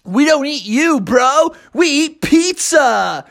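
Someone doing a high, exuberant surfer-dude teen turtle. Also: lively intonation, creaky, fairly bright tone, exaggerated voice. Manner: surfer slang, exuberant, drawn-out exclamations. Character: cocky, friendly teenage male surfer-dude mutant turtles.

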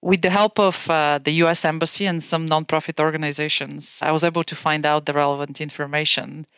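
A woman in her forties from Bulgaria with a Bulgarian accent, a guest calmly recounting her career in a podcast interview. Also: low voice, brisk, clean tone, no echo, studio quality.

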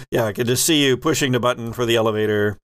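A man's voice: mid-range voice, quick pace, a bit bright, slight background noise. Playful man running a tabletop game. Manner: playful, teasing. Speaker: game master of a tabletop RPG podcast. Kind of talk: improvised narration and rulings at a game table.

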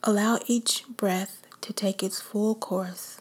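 Woman meditation guide, breathy whisper, very slow and calm. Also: medium pitch.

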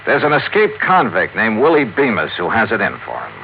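An American actor playing a brisk, dry hard-boiled investigator in a 1950s radio detective drama, clipped and questioning. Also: male voice, lowish voice, wildly swooping pitch, gravelly, slight background noise, exaggerated voice. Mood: probing. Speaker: lead detective and narrator. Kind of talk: 1950s radio detective acting with first-person narration. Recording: tinny old radio recording, music behind.

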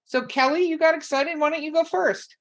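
A woman's voice: high-pitched, brisk. A woman hosting a casual podcast, chatting in an upbeat, informal way. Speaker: podcast host.

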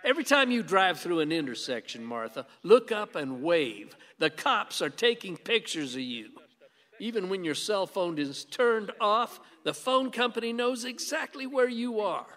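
An actor playing a stubborn, paranoid, devout older conservative husband who grumbles and speaks in emphatic slogans in a radio play. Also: male voice, high-pitched, big pitch swings, moderate pace, a bit bright, in a large hall. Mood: agitated, preachy.

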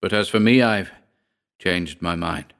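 A man's voice: sits low, a little slow, a bit bright, clear, resonant tone. A man voicing an injured, proud nobleman who is weary and earnest as he relents in an old feud.

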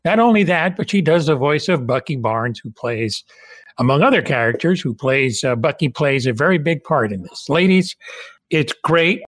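A man hosts a podcast interview, talking in a friendly, conversational way with a slightly raised pitch. His voice sounds dry and close, with no room around it. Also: animated, normal speed, dry recording. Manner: friendly, conversational questions with restarts. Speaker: podcast host. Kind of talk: interview hosting.

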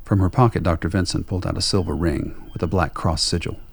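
Male narrator, very low voice, creaky, wry, scripted radio drama storytelling, faint noise behind. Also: fairly even intonation, quick pace. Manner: wry, sardonic.

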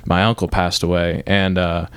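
A young man from Michigan talks loosely as a guest, with plenty of restarts and fillers. His voice sits low and comes through smooth and resonant. The sound is close and dry. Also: flat delivery, normal speed, fairly bright tone, dry recording. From Michigan, north of Detroit. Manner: rambling, many restarts and fillers. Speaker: guest musician. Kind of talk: casual interview chat.

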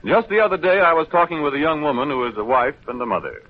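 Male radio announcer: polished sales pitch, lively inflection, slightly high voice, thin 1930s broadcast sound. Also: fast talker, narrow, tinny audio.

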